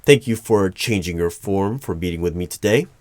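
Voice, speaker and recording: A man playing a formal, easily flustered hiring manager in an ASMR roleplay, speaking in measured, halting phrases. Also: low-pitched, darkish tone, no room sound, studio quality, lightly acted. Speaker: performer of a one-sided roleplay. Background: computer keyboard typing.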